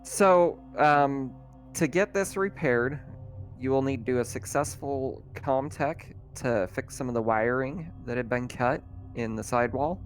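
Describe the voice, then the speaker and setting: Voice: medium pitch, measured pace, faint music behind. A person casually running a tabletop roleplaying game as game master, easygoing and often chuckling.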